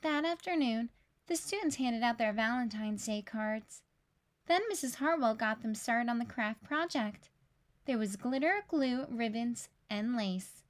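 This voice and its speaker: Woman doing a kids read aloud, really high voice, slow and expressive. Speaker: read-aloud storyteller for young children. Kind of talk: scripted picture-book read-aloud.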